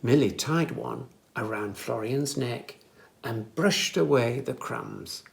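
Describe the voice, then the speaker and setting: A children's storyteller reading a scripted story aloud for young listeners, with expressive, careful phrasing. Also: medium pitch, takes it slowly, vocal fry.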